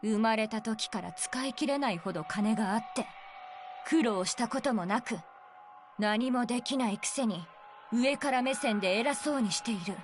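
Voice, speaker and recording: Woman acting a scornful, high-voiced young woman. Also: quick pace, natural voice. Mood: bitter contempt. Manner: contemptuous, taunting. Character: hostile, scornful young woman who resents the rich. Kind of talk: Japanese visual novel voice acting. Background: game music.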